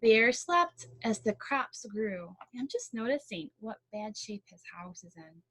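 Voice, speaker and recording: Animated, bright-voiced woman reading a storybook. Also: a little high, a little slow, dry recording. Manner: expressive, chatty, explanatory. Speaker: storybook reader. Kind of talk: animated read-aloud with casual commentary.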